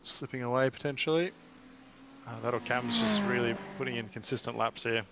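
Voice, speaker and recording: A man's voice: mid-range voice, fairly even intonation, a little fast. A man giving casual live sim racing commentary as a co-commentator, musing and somewhat hesitant. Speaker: motorsport stream co-commentator. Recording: clear background noise on stream.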